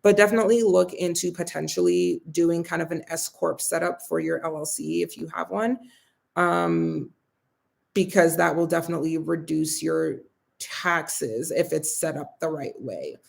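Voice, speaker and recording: A young woman hosting a casual live Q&A, chatting in a friendly way as she reads viewer comments aloud and answers them, her voice getting a bit raspy from long talking. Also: deep voice, normal speed. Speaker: host answering viewer questions.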